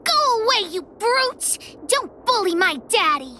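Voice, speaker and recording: Squeaky, feisty little girl. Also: sing-song, unhurried, sharp tone, a little hiss or hum, full character voice. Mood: fierce, defiant. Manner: childish, bold, talks of herself by name. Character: feisty, fearless little girl protecting her daddy. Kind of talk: English video game voice acting. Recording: game music behind the voice.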